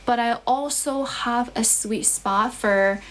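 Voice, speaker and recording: A woman's voice: fairly high pitch, slow, fairly bright tone, slight background noise, low-quality recording. A young woman from China who speaks English with a Chinese accent tinged with American, chatting casually and encouragingly to viewers as an English teacher on a livestream, often repeating phrases. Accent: Chinese, with American influence. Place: relative's house at home.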